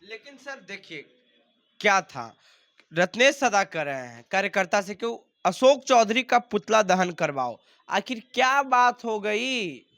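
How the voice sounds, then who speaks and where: High-pitched man conducting a political interview. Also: big pitch swings, unhurried, a bit bright. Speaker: interviewer, news reporter.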